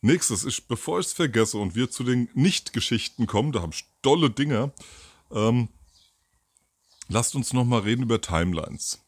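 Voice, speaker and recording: A man's voice: mid-range voice, lively intonation, moderate pace, breathy edge, dry recording. A man in his fifties doing a casual solo commentary outdoors by a garden, rambling with many fillers and self-corrections, into a mic with a furry windscreen. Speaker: host of a commentary show. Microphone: furry windscreen mic near camera.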